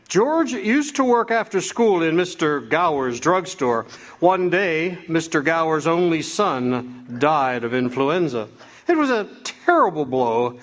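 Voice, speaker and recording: Man doing calm fatherly head angel, fairly high voice, lively pitch. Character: kindly, authoritative male chief of angels. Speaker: radio theatre actor. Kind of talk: live amateur radio drama acting.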